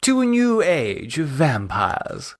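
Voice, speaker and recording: Man voicing a coy, flirtatious posh wife, raised pitch. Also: wildly swooping pitch, slow, exaggerated voice. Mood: approving. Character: flirtatious, teasing upper-class wife. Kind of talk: audiobook character acting.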